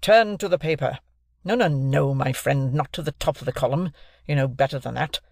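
A man voicing a bullying, sarcastic gentleman who cross-examines in a hectoring, authoritative manner for an audiobook. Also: mid-range voice, normal speed, gravelly, no echo, studio quality. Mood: impatient. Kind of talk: classic novel narration, character voice.

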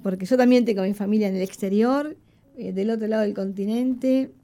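A woman's voice: medium pitch, a little fast, mellow. A woman hosting a casual live radio show, chatting conversationally with her co-host and addressing listeners.

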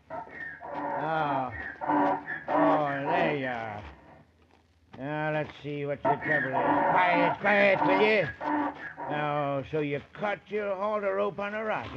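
An actor playing a lonely, unschooled mountain hermit in a 1940s radio drama, with an American accent and folksy, ungrammatical speech. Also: male voice, high voice, animated, slow pace, heavily acted. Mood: urgent. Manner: folksy rustic speech with poor grammar. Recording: muffled, hissy 1940s radio recording.

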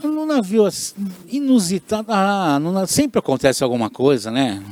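A man's voice: high-pitched, expressive, normal speed, a little mellow. A man, a radio show regular, telling anecdotes in an easygoing chat and repeating his phrases. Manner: chatty, laughs often, repeats phrases. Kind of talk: casual conversation, storytelling.

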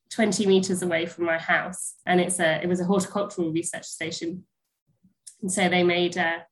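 A woman's voice: on the low side, poor audio. A woman, sounding a bit snuffly from a cold, answering interview questions in a casual, hesitant way with many ums and restarts. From England, near Bristol. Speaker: guest, pasture science lecturer.

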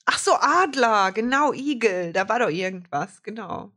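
A woman's voice: medium pitch, expressive, normal speed, pushed and bright. A woman co-hosting a podcast, chatting casually in brief, offhand remarks. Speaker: podcast co-host.

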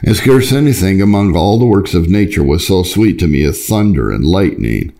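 Low, mellow male narrator. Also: expressive, normal speed, gravelly. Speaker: audiobook narrator. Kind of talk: scripted devotional reading of an old text.

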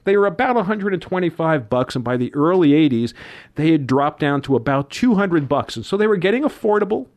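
A man in his fifties with a slightly high voice holds forth on a radio talk show. He reminisces at length and rattles off dates, prices and figures like a lecturer. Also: sing-song, brisk, a little mellow. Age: 50s.

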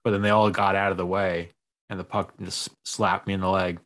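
A man's voice: low-pitched, little pitch movement, brisk, fairly bright tone. A man in a casual conversation, easygoing and laid-back as he tells a story. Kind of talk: casual chat.

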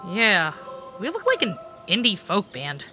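A woman playing a cheeky, flighty witch in an audio comedy, with a flippant, excitable delivery. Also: medium pitch, unhurried, faint music behind, heavily acted. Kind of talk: audio theater comedy acting.

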